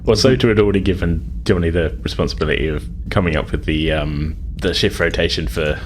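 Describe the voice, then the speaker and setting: A man as game master, narrating a tabletop roleplaying game in a halting, conversational way. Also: sits low, slight background noise.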